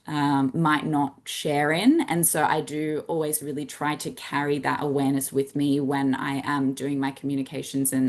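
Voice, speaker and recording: Low-voiced Australian woman presenting. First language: English. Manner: earnest, with frequent ums and restarts. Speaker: science communicator. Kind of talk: presentation talk.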